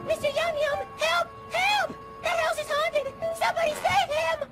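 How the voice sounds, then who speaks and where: A small, timid cartoon boy, piercingly high and whiny. His delivery is plaintive and excitable, over loud music. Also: moderate pace. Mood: panicked. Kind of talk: cartoon video game voice acting.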